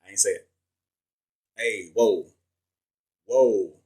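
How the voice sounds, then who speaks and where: A man's voice: lowish voice, slow, warm tone, breathy edge. A man hosting a casual livestream as a nursing exam coach, answering viewers in loose, joking slang with frequent restarts. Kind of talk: casual livestream chat reading viewer comments.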